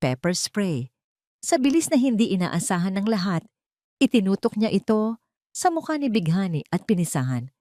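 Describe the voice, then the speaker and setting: A woman's voice: mid-range voice, sing-song, normal speed, mellow, no room sound, clean studio sound. A woman narrator reading a scripted story in a dramatic, suspenseful way.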